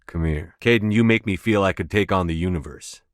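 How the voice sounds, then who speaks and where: An actor playing a resolute sci-fi military commander in a video game, with calm authority and measured, firm, clipped delivery. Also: male voice, deep voice, no room sound, studio quality, lightly acted. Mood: earnest, intimate. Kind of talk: scripted video game dialogue.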